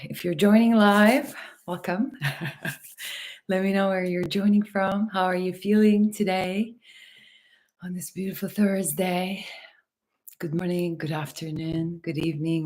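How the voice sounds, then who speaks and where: A woman from Turkey and Los Angeles hosting a casual livestream, talking to viewers in a gentle, unhurried, spiritual wellness manner. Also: lowish voice. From Turkey and Los Angeles, USA. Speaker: host, hypnotherapist and mentor.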